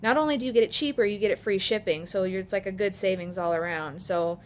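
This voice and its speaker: A woman's voice: mid-range voice, fast. A woman in her thirties with an American accent, chatting casually and rambling a little as she vlogs. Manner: informal, conversational, rambling. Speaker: vlogger. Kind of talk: casual vlog chat. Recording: clearly noisy phone video sound.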